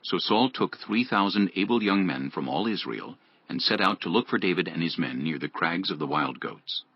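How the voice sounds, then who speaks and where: A man's voice: sits low, animated, moderate pace, breathy edge, dry recording. A man narrating scripture as a scripted dramatized audiobook. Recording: dull lo-fi audio.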